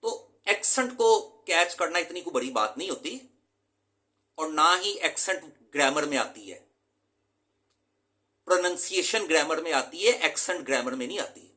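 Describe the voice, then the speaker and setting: A man's voice: on the high side, lively intonation, normal speed, sharp tone. A man from Pakistan, an English teacher, giving an earnest, emphatic lecture to his students and mixing English terms into his talk.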